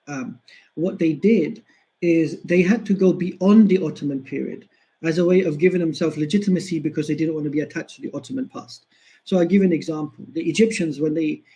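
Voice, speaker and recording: Man, earnest lecture, mellow tone, slightly high. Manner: earnest, emphatic repetition. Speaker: lecturer on history. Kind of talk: explanatory lecture.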